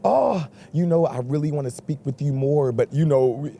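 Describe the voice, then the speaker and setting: A man's voice: on the high side, normal speed, creaky, warm tone, a little hiss or hum. An American man from Atlanta, a former English teacher, talking candidly and laughing at himself. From Atlanta, USA.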